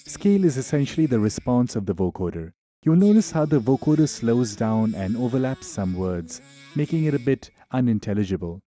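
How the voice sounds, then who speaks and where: A man narrating a software tutorial in an informal, explanatory way. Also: medium pitch, big pitch swings, brisk, faint music behind.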